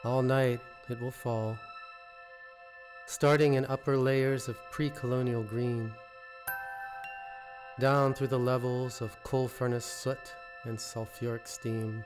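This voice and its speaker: A man reads his own poetry in a measured, deliberate way, pausing between short phrases. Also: medium pitch, little pitch movement. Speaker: poet reading own work. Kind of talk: poetry reading. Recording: musical score under the voice. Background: original instrumental music.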